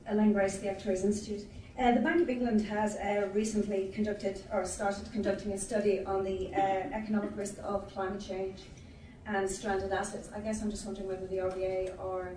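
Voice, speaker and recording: Flat-voiced woman asking from the floor. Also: medium pitch, a little hiss or hum. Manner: hesitant, halting with fillers. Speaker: audience questioner. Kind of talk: question from the floor. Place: conference hall. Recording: distant in an echoey hall.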